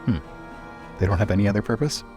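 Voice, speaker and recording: A man's voice: on the low side, fast talker, a little mellow, faint music behind. A man playing in a tabletop roleplaying game, asking questions and describing actions in a thoughtful, inquisitive way. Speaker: player in a roleplaying podcast.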